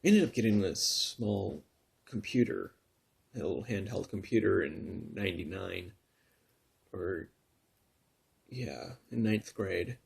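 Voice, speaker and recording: A man in his thirties from Northern California who tells his own story in a soft, halting way, full of pauses and restarts, sometimes close to a whisper. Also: low-pitched, vocal fry, slightly rough. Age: 30s. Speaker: vlogger telling his story. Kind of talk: personal confessional vlog.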